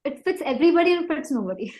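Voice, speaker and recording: High-voiced Indian woman, chatty and gushing. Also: sing-song, fast talker, a little mellow. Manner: informal, appreciative, gushing. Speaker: singer-songwriter guest. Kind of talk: casual chat, telling anecdotes.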